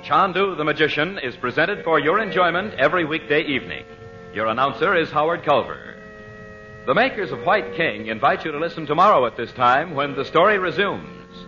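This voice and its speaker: A man's voice: a little high, sing-song, brisk. A man announcing and selling in a polished, salesmanlike 1940s radio style. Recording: dull vintage radio recording with music.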